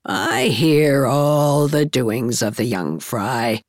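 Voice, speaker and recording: A woman's voice: extremely low pitch, extremely slow, no echo, clean studio sound, voice pushed down, heavily acted. A woman voicing a blunt, opinionated older matchmaking woman in a storybook, with an emphatic, forthright way of talking. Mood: knowing, emphatic.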